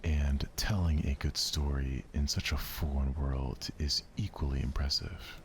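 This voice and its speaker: Man playing a very low, slow, bookish shy girl. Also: fairly even intonation, a little hiss or hum. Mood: earnest, absorbed. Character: gentle, reserved, bookish teenage girl, passionate about reading.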